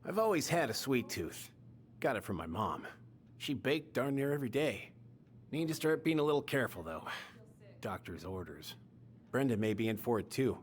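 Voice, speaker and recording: A man acting as a genial, fatherly small-town American police chief in a story game. He is middle-aged and folksy, with very lively pitch that rises and drops as he chats. Faint noise sits behind him. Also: moderate pace, natural voice. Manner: folksy, chatty. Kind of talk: naturalistic video game acting.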